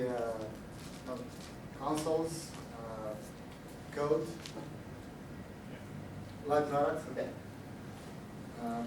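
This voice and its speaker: Romanian-accented male presenter, halting, filler-heavy delivery, recorded from afar in a noisy hall. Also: a little high. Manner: halting, many "uh" fillers, restarts. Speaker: conference speaker. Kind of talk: technical conference talk. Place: large echoey conference room. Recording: echoey room audio, distant mic, background noise.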